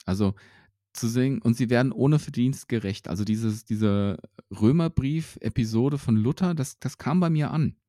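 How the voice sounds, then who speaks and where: A man's voice: mid-range voice, moderate pace, dark tone, dry recording, clean studio sound. A man talking casually and reflectively about his faith, with many fillers and restarts.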